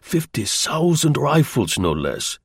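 A man's voice: medium pitch, very animated, slow, gravelly, breathy edge, dry recording, studio quality, voice pushed up, full character voice. An aggrieved, podgy agent acted by a male audiobook reader pleads his case. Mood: eager, scheming.

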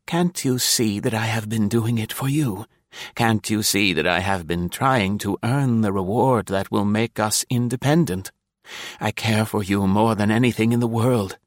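A man's voice: on the low side, dry recording, clean studio sound, voice pushed up. A man playing an ardent, defensive male spy who pleads with his lover in a dogged, melodramatic way. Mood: pleading.

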